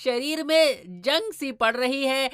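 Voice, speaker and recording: High, swooping, scheming tomcat, acted by a woman. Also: expressive, slow pace, no echo, studio quality. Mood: evasive. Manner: scheming, pompous, hesitant when covering up. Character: sly, greedy, boastful tomcat hungry for chicks. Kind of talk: animated children's story voice acting. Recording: background music.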